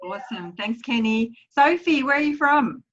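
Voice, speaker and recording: An upbeat woman runs a group video call, praising each guest as she goes. Her voice sits a little high. The audio comes through a bit muffled over the call. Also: lively intonation, moderate pace, fairly bright tone, a little hiss or hum. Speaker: host of a team video call. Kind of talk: casual group call hosting.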